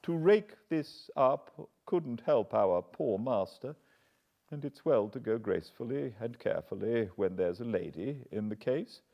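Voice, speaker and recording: A man's voice: mid-range voice, expressive, measured pace, gravelly, mellow, voice pushed up. A British man voicing a deferential, earnest middle-aged butler who speaks respectfully and apologetically; the recording sounds slightly dull.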